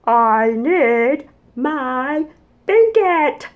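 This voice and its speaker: A woman voicing a fussy young dinosaur from a picture book, exclaiming loudly in drawn-out words. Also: high voice, animated, phone-quality sound, exaggerated voice. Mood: demanding.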